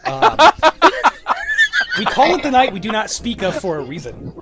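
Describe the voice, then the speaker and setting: A man's voice: sits high, huge pitch swings, normal speed, gravelly, over a noisy crowd. A young man in casual group chat, talking in a crude, joking, sweary way. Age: young adult. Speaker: show cast member. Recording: music behind. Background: music.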